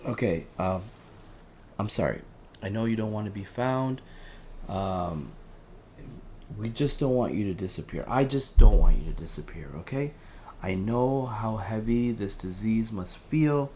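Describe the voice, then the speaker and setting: A man playing a gentle, anxious helpline counsellor in an audio drama, halting, earnest and reassuring. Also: lowish voice, expressive, a little hiss or hum. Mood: worried, pleading. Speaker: helpline operator. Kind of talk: audio drama phone-call acting.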